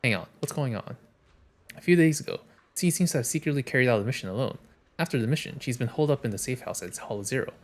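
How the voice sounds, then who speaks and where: A man's voice: medium pitch, lively intonation, fast talker. A man who streams games, reading story narration and dialogue aloud on a livestream.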